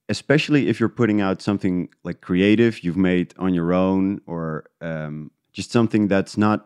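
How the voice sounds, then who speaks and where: A man talking casually and reflectively as an interview guest, feeling his way through thoughts with halting restarts. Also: low-pitched, a little mellow, smooth, no room sound, studio quality. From Netherlands. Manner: halting, thinking aloud in restarts. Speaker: interview guest, independent podcast creator.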